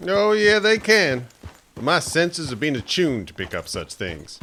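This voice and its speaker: An actor playing a boastful frontier hunter who spins folksy tall tales in a radio drama. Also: male voice, a little high, huge pitch swings, a little slow, sharp tone, slight background noise, exaggerated voice.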